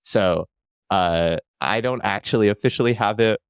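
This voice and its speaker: A man from Canada with a low voice, talking loosely on a casual podcast. He rambles and jokes at his own expense. The sound is close and dry, with no room at all. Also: normal speed, smooth, no room sound. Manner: rambling, self-deprecating jokes. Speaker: podcast guest.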